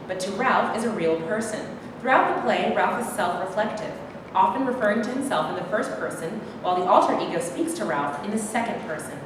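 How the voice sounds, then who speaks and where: A woman reading scripted stage directions as the narrator of a play reading. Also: a little high. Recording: echoey hall, distant mic, noisy.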